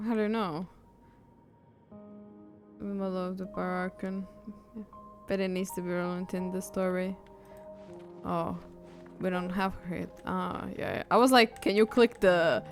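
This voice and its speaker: A woman livestreaming a game, chatting casually and reacting as she goes. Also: mid-range voice. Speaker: game streamer. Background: game music.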